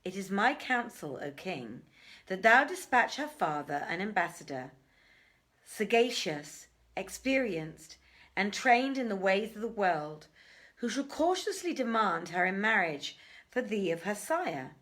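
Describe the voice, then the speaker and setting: A woman acts out a sagacious royal counsellor from an old storybook. The delivery is formal and deferential, slow and measured, with a widely moving pitch. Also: sing-song, fairly bright tone. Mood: earnest, advising.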